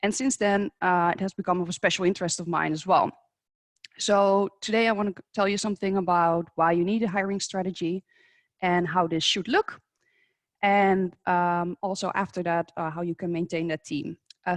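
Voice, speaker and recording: A woman from the Netherlands with a Dutch accent, giving a conference presentation, measured and a little hesitant, with frequent fillers and restarts. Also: fairly low pitch, steady tone, dry recording. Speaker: presenter at a professional webinar. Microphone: remote video call.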